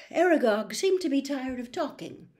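An older woman reads a story aloud with expressive drama. Her voice sits fairly high, and she takes her time, shaping each phrase deliberately. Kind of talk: expressive audiobook-style narration. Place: small bedroom, indoors.

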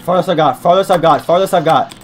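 Brief: young male streamer, a bit high-pitched, hoarse, cursing at his desk. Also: quick pace, noisy background. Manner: swears and shouts in frustration. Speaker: gaming YouTuber. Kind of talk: webcam gameplay commentary. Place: small room at a desk. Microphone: desk mic beside keyboard, wearing headset.

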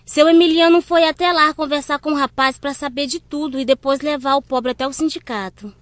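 A woman's voice: high-pitched, steady tone, brisk, no echo, natural voice. A woman with a Brazilian accent playing a friendly, grateful young niece in a radio drama. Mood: chatty, earnest.

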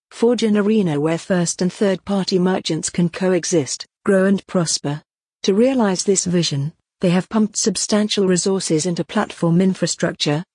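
A podcast narrator delivers a scripted business report in a husky voice. The pitch sits in the middle and the sound is very dry, with little room in it. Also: darkish tone, no echo.

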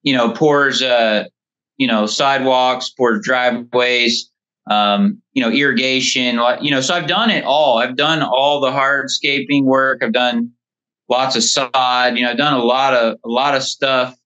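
A man's voice: mid-range voice, steady tone, unhurried, sharp tone. A man in his thirties chatting casually as a podcast guest over the phone, telling stories in a rambling way. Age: 30s. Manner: rambling, frequent "you know". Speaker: podcast guest, lawn care business owner. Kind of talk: casual interview storytelling. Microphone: phone call. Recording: dull, band-limited phone call.

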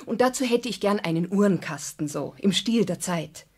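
A genteel lady customer in a children's radio play. She speaks in a mid-pitched voice, with courteous, effusive politeness and an air of comfortable wealth. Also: lively intonation, moderate pace, darkish tone, breathy edge. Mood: polite, keen. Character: polite, well-off adult female customer, gushing collector.

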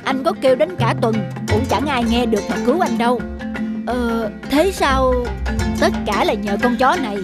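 A woman voicing characters in a cartoon folktale with an earnest storytelling delivery. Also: sits high. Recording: loud background music.

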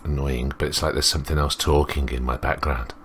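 A man's voice: a bass voice, fairly even intonation, fairly quick, a bit bright, slight background noise. A man reading a visual novel aloud as a let's play host, rambling and interrupting himself with commentary.

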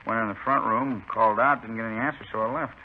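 A man's voice: on the low side, fairly even intonation, quick pace, lightly acted. A man playing a curt, irritated murder suspect, defensive under questioning in a 1950s radio drama. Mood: guarded. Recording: muffled 1950s radio recording, faint noise.

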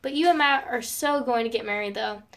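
A woman's voice: fairly high pitch, fairly quick, gravelly, fairly bright tone. A woman playing a chatty, romantic teenage girl in an amateur audio drama. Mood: teasing.

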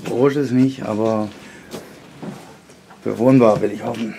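A man with a fairly low voice talks casually to his own camera at arm's length. His remarks are short and wry, and loud surrounding noise runs all through the recording. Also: fairly even intonation, measured pace, gravelly. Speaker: fitness vlogger and bodybuilding competitor. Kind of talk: casual vlog chat to camera. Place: on the move: train, taxi, street, hotel, gym. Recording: noisy handheld camera, loud ambient noise.

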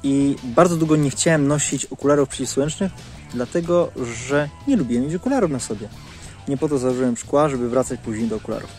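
A man's voice: mid-range voice, quick pace, a little mellow, faint music behind. A man in his thirties giving a casual product review to camera, recorded outdoors on a lapel mic. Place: outdoors in leafy woodland. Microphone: clip-on lapel mic.

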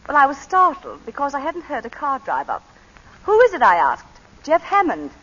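Old radio drama: a clipped, refined planter's wife, bright and expressive, muffled broadcast sound. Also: female voice, high-pitched, normal speed, full character voice. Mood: measured. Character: composed planter's wife recounting a killing. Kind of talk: 1940s radio acting.

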